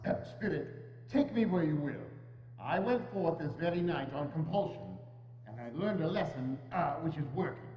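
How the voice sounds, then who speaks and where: A man's voice: a little high, animated, normal speed, natural voice. A man playing a grumpy, shaken old miser with a hesitant, querulous manner in a dramatic reading. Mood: uneasy, submissive. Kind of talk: dramatic reading of a stage adaptation. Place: indoors, church. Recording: echoey church hall, dull sound, faint hum.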